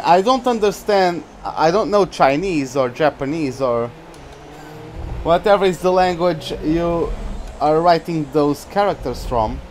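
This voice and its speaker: A man's voice: on the high side, a little mellow, a little hiss or hum. A young Portuguese man with a Portuguese accent, chatting and laughing often as he commentates his own racing game on a live stream. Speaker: gaming streamer.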